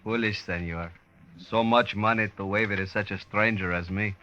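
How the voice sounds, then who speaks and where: A sly Cuban fixer, with a Spanish accent and mock courtesy. The voice is lowish and bright, taunting in the manner of vintage radio crime drama, on a slightly dull old recording. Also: male voice, fairly even intonation, normal speed.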